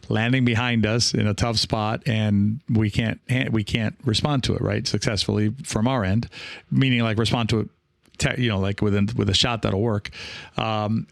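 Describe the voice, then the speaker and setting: A man talking casually as a podcast host and coach, reflective and encouraging, halting now and then and often checking in with the listener. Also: on the low side, vocal fry, dry recording, clean studio sound. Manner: reflective, halting, with frequent rhetorical check-ins.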